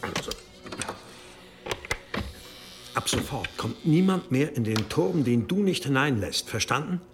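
An actor playing a stern, commanding medieval abbot in an audio drama, curt and menacing. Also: male voice, mid-range voice, sing-song, normal speed, noisy background, natural voice. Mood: curt, irritated. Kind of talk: German audio drama acting.

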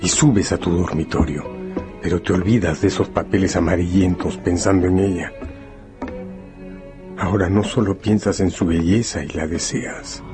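A man narrating a radio novel in a solemn, deliberate, dramatic second-person style. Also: sits low, steady tone. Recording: music bed under the voice. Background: music.

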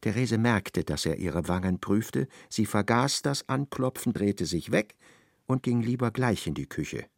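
A man's voice: on the low side, lively intonation, unhurried, gravelly, dry recording. A man narrating a literary radio play as a storyteller, recorded in a studio. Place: dry studio.

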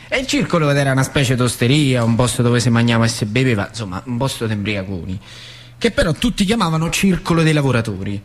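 A man with a central Italian accent from northern Lazio, an actor telling a story as a colloquial, expressive dramatic monologue full of repetitions. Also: medium pitch, fast talker. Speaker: actor and narrator. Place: radio theatre broadcast. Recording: music behind the voice.

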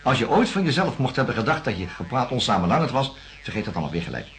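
An actor playing a patient, cautious, kindly doctor, speaking in a measured, slightly hesitant way in a radio drama. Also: male voice, medium pitch, big pitch swings, fairly bright tone. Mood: reassuring. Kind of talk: naturalistic radio drama dialogue.

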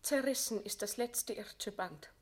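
A woman's voice: medium pitch, measured pace, close to an everyday voice. An actress playing a proud, remorseful queen resigned to death, speaking classical verse in a solemn, fervent radio drama style. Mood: anguished confession.

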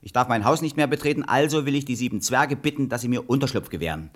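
An actor playing a cheeky, naive, boastful clown in a fairy-tale radio play, exclamatory and clownish. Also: male voice, mid-range voice, fast talker, close to an everyday voice. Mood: lost, grumbling. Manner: exclamatory, clownish, nonsense catchphrases.

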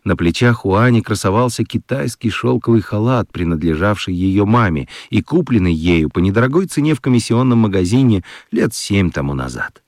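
A man narrating a children's radio play in an expressive storytelling style. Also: fairly low pitch, normal speed, hardly any pauses, no echo, clean studio sound.

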